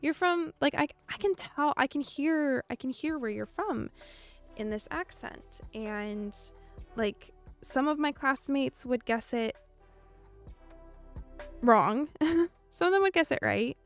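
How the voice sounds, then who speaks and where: A woman's voice: high-pitched, lively intonation, slow pace, faint music behind. A young American woman chatting casually with viewers. She speaks in a meandering, thoughtful way and laughs often. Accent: General American. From United States. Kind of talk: casual chat.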